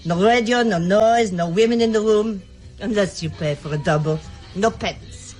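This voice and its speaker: An actor playing a curt, grudging clerk of a shabby hotel in a radio drama, gruff and fond of rules. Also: male voice, high voice, moderate pace, a little mellow, faint music behind, lightly acted.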